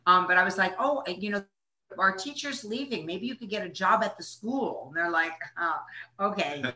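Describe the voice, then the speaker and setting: A woman's voice: mid-range voice, expressive, normal speed, bright, forceful tone, echoey room, rough recording. An older woman, an actress being interviewed, casually reminiscing in conversation.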